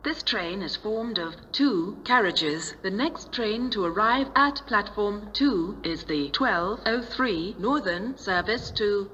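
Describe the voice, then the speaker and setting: A woman's recorded voice making automated train announcements on a station platform, delivered in a measured, evenly spaced way. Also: medium pitch, lively intonation, bright, forceful tone, dull audio. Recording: station ambience, train and wind noise.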